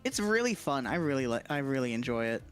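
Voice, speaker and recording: A woman's voice: rumbling low, sing-song, fast talker. A woman chatting casually as a guest on a livestream, easygoing and giggly, with music behind her. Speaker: co-streamer, guest voice actor.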